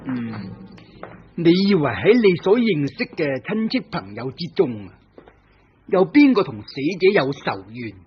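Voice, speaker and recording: Cast: hard-pressing radio-play police inspector, husky and lively, slightly high, on a dull old recording. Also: male voice, unhurried, slight background noise. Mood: stern. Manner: firm, pressing interrogation. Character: stern probing male police inspector. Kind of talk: vintage radio drama acting.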